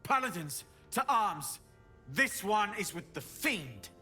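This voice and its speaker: A man's voice: sits high, sing-song, slow, sharp tone, soft background music, full character voice. A man playing a zealous paladin in a fantasy video game, urgent and declamatory. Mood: alarmed, commanding. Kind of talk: dramatic fantasy game acting.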